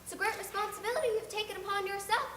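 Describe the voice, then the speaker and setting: Outspoken older village busybody, emphatic and disapproving, read by a woman; high and bright, echoey room. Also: fairly even intonation, rapid-fire, slight background noise. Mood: gloomy. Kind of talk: audiobook character reading.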